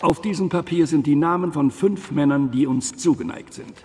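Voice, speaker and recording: A man playing a grave, assured gentleman who leads a secret order in a video game, formal, deliberate and authoritative. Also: on the high side, lightly acted. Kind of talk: dubbed video game cutscene dialogue. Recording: long reverberant hall.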